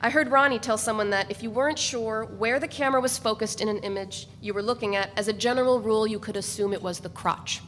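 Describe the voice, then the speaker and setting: A woman's voice: fairly high pitch, fast, hardly any pauses, a bit bright. A woman giving a dramatic reading of a novel as a first-person narrator. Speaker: reader of a novel. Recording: echoey hall, faint background noise.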